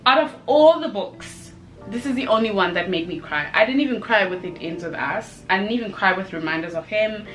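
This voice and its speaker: A young woman chatting casually in a book review video, expressive and given to emphatic repetition. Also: medium pitch, brisk, faint music behind, rough recording.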